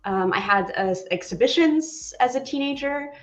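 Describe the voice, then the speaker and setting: A woman's voice: high voice, expressive, normal speed, echoey room. A woman in her forties from Poland, chatting animatedly as an interview guest, with many fillers. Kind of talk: casual interview chat.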